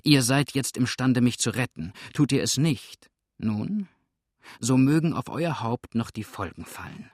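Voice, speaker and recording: A man's voice: medium pitch, lively intonation, unhurried, no room sound, studio quality. A man voicing a hunted, ruthless outlaw in an audiobook, grim and threatening, with a sneering edge. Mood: sneering threat. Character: hunted, ruthless male fugitive outlaw, exhausted and menacing. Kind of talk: audiobook character acting.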